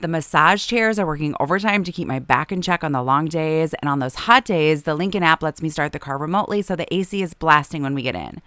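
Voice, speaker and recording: A woman, hosting a podcast. She is hoarse from a long illness, and her deep, raspy voice has a lot of vocal fry. She chats easily and laughs often. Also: lively intonation, fast talker, few pauses, a bit bright, dry recording, clean studio sound. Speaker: podcast host. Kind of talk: casual chat and read ads.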